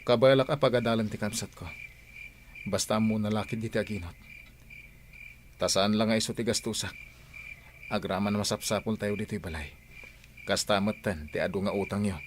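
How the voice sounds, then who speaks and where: An earnest, stubborn young lover with an Ilocano accent played by a man in a radio play. His voice is on the low side and insistent. There is faint noise and insect chirping behind him. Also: fairly quick, pauses often, close to an everyday voice. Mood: firm. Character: earnest, stubborn grown son in love. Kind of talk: radio drama acting.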